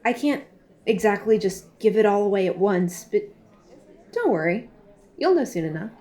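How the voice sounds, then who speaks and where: Woman doing gushy secretive recruiter girl, soft dark tone, middle pitch, coy audio drama acting. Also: animated, moderate pace, lightly acted. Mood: coy, earnest. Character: gushing, evasive young woman recruiter for a secretive group.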